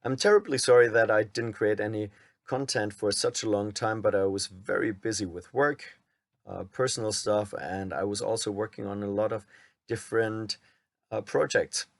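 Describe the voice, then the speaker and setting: A man from Germany with a German accent, a channel host reading a prepared script aloud in a measured, somewhat wooden way. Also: lowish voice, steady tone, gravelly, no room sound, studio quality. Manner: admits his reading sounds wooden.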